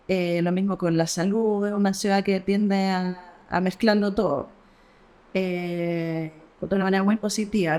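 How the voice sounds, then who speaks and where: A woman's voice: medium pitch, a little mellow. A woman in her forties with a Chilean accent, an architect and academic, talking thoughtfully in an interview with many hesitations and restarts. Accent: Chilean Spanish. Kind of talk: reflective conversational interview.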